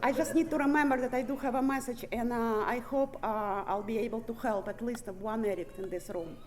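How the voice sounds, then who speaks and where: A woman's voice: fairly high pitch, fairly even intonation, a little fast, darkish tone. A woman with a Russian accent, born in Ukraine and raised in Siberia, giving a candid recovery testimony to a meeting room, swearing freely and laughing often. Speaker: recovery meeting main speaker. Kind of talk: personal recovery testimony speech.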